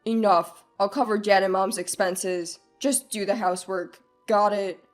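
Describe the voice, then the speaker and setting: Selfish, condescending husband for a story drama, voiced by a woman, lowered pitch, curt delivery. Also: a little slow, soft background music, lightly acted. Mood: angry, scolding. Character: domineering, dismissive, selfish husband, adult man. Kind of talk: acted dialogue in a narrated family drama story.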